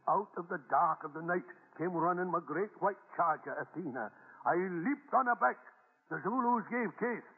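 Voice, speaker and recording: A husky old grandpa. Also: male voice, sits high, lively intonation, moderate pace, full character voice. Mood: boastful. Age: older. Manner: theatrical storytelling, relishing tall tales. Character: boastful, tender old Scottish grandfather. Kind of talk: 1940s radio acting. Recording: tinny old radio broadcast with reverb.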